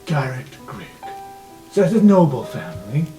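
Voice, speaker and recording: Man playing a lion-folk noble patriarch, imposing, suspicious, curt questions, swinging pitch, tabletop game, music underneath. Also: very animated, mellow, lightly acted. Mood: imperious, probing. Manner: curt, probing questions with measured pauses.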